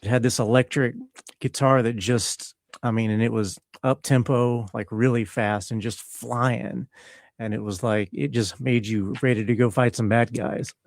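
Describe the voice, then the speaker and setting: A man's voice: mid-range voice, fairly even intonation, no room sound. Thoughtful male guest, dry close sound. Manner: halting, thoughtful, searching for words. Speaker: interview guest, composer and audio engineer. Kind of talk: casual reflective chat.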